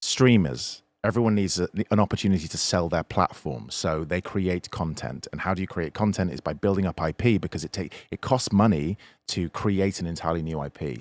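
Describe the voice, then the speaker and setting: A man's voice: deep voice, darkish tone, dry recording. A man in an unscripted panel discussion, giving opinions cynically and emphatically, repeating words for stress. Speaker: podcast panelist.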